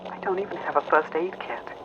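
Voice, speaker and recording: A man's voice: high-pitched, steady tone, brisk, background noise, voice pushed up. Man voicing a strained woman pilot over radio. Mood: bitter, resigned. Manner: strained, pleading. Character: weary, frightened young female enemy mech pilot. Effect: radio filter. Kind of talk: audio drama acting. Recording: muffled cockpit radio with music.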